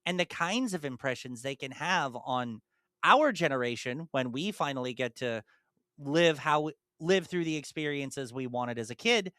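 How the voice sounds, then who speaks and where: Bright, crisp-voiced young man chatting. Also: mid-range voice, lively intonation, normal speed, dry recording, clean studio sound. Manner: enthusiastic, rambling with restarts. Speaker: podcast co-host. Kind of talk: casual chat.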